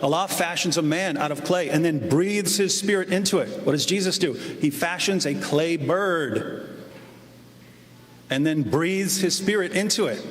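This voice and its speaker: A man's voice: fairly high pitch, fairly bright tone. Emphatic man in a roomy hall. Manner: emphatic, declarative. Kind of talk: assertive presentation.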